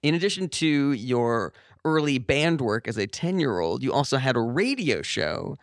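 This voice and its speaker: A man's voice: mid-range voice, very animated, moderate pace, dry recording. Dry, hesitant comedy interviewer man. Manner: mock-serious interviewer, hesitant with fillers. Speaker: podcast host.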